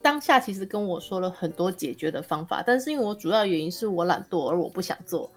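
A woman's voice: on the low side, expressive, quick pace, slightly rough, soft background music. A woman chatting casually as a guest, telling anecdotes and acting out the dialogue. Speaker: guest author.

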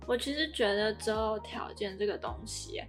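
Young woman, Taiwanese Mandarin accent, mid-pitched, opinionated conversational co-host over faint music. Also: moderate pace. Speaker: podcast co-host. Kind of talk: casual podcast chat.

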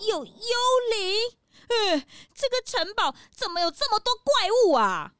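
Woman acting an excitable young sidekick, very high voice, swooping intonation, exclamatory, children's audio drama. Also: a little slow, faint music behind, full character voice. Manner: exclamatory, butts in. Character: excitable, chatty, impatient young detective's assistant.

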